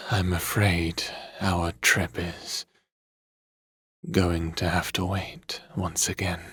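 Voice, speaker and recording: A man playing a weary, gentle, brooding god of the underworld in a fantasy audio drama, soft-spoken and measured. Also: sits low, flat delivery, husky, heavily acted. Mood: weary, fond.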